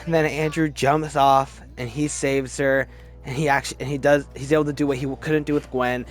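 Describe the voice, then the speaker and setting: A man's voice: medium pitch, little pitch movement, fairly quick, faint music behind. A man giving casual, opinionated commentary as host of a film ranking video, with gushing fan enthusiasm and repeated words for emphasis.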